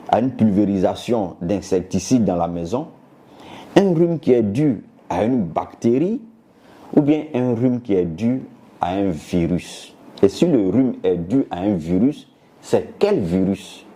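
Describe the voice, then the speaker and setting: A man speaking as a doctor, explaining a health topic to viewers in a didactic way and pausing to search for words. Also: on the low side, dark tone. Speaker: doctor giving a health talk.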